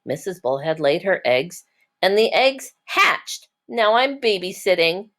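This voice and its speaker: A woman's voice: lowish voice, sing-song, unhurried, fairly bright tone, exaggerated voice. A woman voicing proud, contented father fish in a children's storybook, with a cheerful, satisfied manner. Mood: proud, upbeat. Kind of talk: children's storybook read-aloud.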